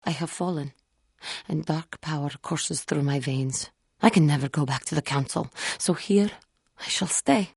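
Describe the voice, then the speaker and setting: A proud, troubled female warrior from a fantasy video game. Her voice is on the low side and very husky, with little room sound. She speaks formally and solemnly, as someone burdened by guilt. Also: darkish tone, no echo, studio quality, full character voice. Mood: anguished, bitter. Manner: formal, solemn, deliberate phrasing without contractions. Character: proud, tormented, repentant female fallen Jedi warrior. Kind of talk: dramatic video game character dialogue.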